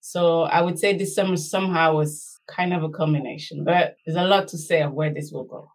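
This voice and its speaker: A woman's voice: sits low, steady tone, fairly quick. A middle-aged woman from Belgium, an academic guest speaking reflectively and anecdotally in an interview. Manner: reflective, anecdotal, checks in with "right?".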